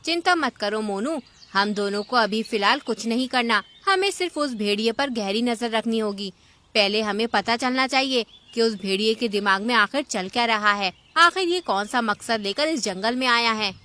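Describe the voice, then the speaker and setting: A woman's voice: fairly high pitch, animated, moderate pace, sharp tone. A woman voicing a wise, watchful auntie bird in a children's cartoon, speaking in a firm and reassuring way. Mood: thoughtful, reassuring. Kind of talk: Hindi children's cartoon voice acting.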